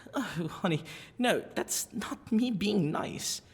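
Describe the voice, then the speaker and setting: Man playing a husky, smitten half-dragon librarian. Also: high voice, expressive, heavily acted. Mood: exasperated, insistent. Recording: long reverberant echo.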